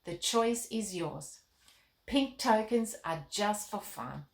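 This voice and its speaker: A woman voicing a kindly, majestic king in a children's storybook, measured, gentle and reassuring. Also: mid-range voice, animated, vocal fry, roomy sound. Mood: patient, explaining.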